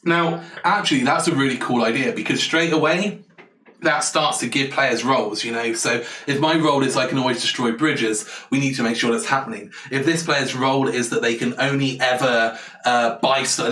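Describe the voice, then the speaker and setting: A man's voice: medium pitch, a bit bright. A man thinking aloud on a live stream while designing a board game, eager and rambling as he answers chat. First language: English. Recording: roomy, distant from the mic.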